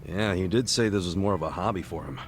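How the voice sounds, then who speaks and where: An actor playing an easygoing, level-headed buddy in a video game cutscene, speaking casually and earnestly. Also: male voice, low voice, quick pace, faint music behind, natural voice. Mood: casual concern. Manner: casual, incredulous, reassuring.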